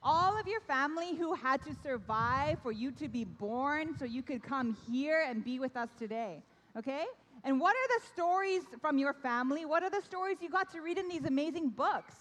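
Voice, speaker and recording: High-voiced woman hosting in a big hall. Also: expressive, normal speed, a bit bright. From Canada. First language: Korean. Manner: upbeat, coaxing call-and-response with the crowd. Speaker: event host. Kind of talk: addressing a young audience at an awards ceremony. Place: large hall. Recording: long reverb of a big hall.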